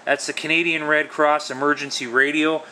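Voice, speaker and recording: A man's voice: fairly high pitch, little pitch movement, normal speed, bright, forceful tone, a little hiss or hum, rough recording. A man casually showing off a product hands-on, talking informally and with enthusiasm. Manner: informal, enthusiastic, mild swearing. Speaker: gadget reviewer.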